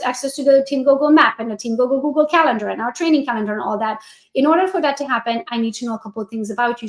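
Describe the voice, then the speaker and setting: A woman with a Hungarian accent coaches in a lively, chatty way and talks right to her viewers. Her voice sits high, and the sound carries a roomy echo. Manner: energetic, chatty, addresses viewers directly. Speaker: real estate coach. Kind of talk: coaching walkthrough on a live stream.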